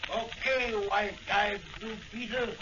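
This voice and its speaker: An actor playing a tough, threatening gangster boss in a gravelly voice, in 1940s radio style. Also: male voice, sits high, slow pace, far from the mic. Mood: menacing. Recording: dull, noisy old radio recording.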